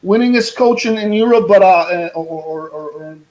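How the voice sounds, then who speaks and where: Polish man with a high voice, coach. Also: moderate pace, low-quality recording. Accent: Polish with a mid-Atlantic English lilt. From Poland. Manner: energetic, many restarts and repeated words. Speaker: American football coach. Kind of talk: guest presentation on a video call. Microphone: video call.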